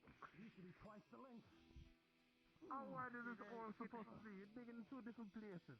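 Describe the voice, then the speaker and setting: High-voiced man, muffled under loud music. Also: fairly even intonation, a little fast. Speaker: roleplay player. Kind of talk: improvised in-character roleplay chat. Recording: dull lo-fi sound, loud music behind.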